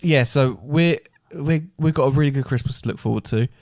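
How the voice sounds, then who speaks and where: A man's voice: mid-range voice, fairly quick, darkish tone, dry recording, clean studio sound. A man co-hosting a podcast in casual chat. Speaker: podcast co-host.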